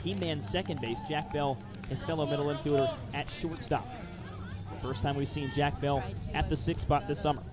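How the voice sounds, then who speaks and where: Male, fairly high voice, live radio baseball play-by-play, clipped descriptive phrasing, ballpark broadcast. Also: steady tone, moderate pace, over loud music, over a noisy crowd.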